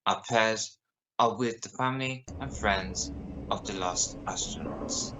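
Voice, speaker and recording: Man playing a low-voiced, grave news interviewer. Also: noisy background, lightly acted. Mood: solemn. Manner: formal, measured. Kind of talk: amateur radio play acting as newscast.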